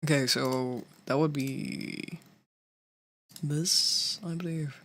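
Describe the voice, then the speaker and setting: A streamer and cover singer chatting casually, talking haltingly with many restarts and fillers. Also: mid-range voice, steady tone, fairly bright tone. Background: faint background music.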